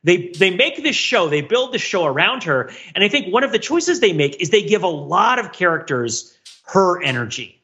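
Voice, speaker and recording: A man's voice: sits high, very animated, moderate pace, bright, forceful tone, highs cut off. A man hosting a casual pop-culture discussion podcast, talking emphatically and repeating phrases for effect. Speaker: podcast host.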